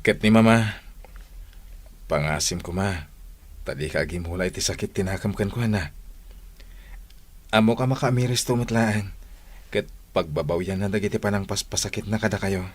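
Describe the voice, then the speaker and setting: Earnest young man in love, deep voice, husky, devoted, audio drama. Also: normal speed, long pauses. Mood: earnest, pleading. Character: devoted young suitor and son, earnest, generous.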